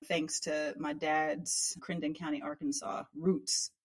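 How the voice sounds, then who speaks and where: A woman's voice: low-pitched, flat delivery, a little slow. A woman from Texas in her thirties, a community organizer, answering interview questions in an earnest, reflective way. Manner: earnest and reflective, with many "like" fillers. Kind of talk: conversational interview.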